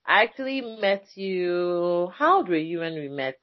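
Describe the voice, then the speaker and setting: A woman with a low, deep speaking voice hosts a relaxed conversation, talking in a chatty way and pausing often with fillers. The sound is dry and a little dull. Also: dry recording. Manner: chatty, hesitant with many fillers. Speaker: podcast host. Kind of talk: casual conversation.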